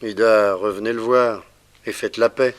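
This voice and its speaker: Actor as frank, loyal detective lieutenant. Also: male voice, lowish voice, fairly even intonation, moderate pace, close to an everyday voice. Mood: pressing, pointed. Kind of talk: 1960s French radio drama acting.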